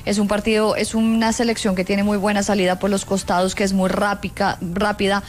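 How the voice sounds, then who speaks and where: Colombian female sports journalist for panel talk, medium pitch, faint background noise. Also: little pitch movement, brisk. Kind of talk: unscripted sports discussion.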